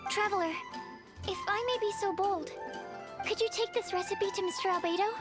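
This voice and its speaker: A woman's voice: very high voice, fairly quick, over loud music. A woman voicing a timid, earnest young female researcher in an English video game dub, polite, formal and a little hesitant. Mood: hesitant, explaining.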